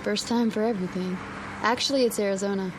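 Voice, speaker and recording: An actress playing a sharp, questioning and playful young newlywed wife in a radio drama. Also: female voice, mid-range voice, fast, close to an everyday voice. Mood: dry, teasing. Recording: steady car road noise behind.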